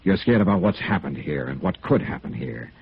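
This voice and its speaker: A man's voice: deep voice, lively intonation, brisk, gravelly, husky, exaggerated voice. An American actor playing a hard-boiled, persistent insurance investigator in a radio noir drama, clipped and matter-of-fact as he narrates and questions. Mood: probing, insistent. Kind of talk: 1950s radio noir acting. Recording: dull vintage radio recording with music.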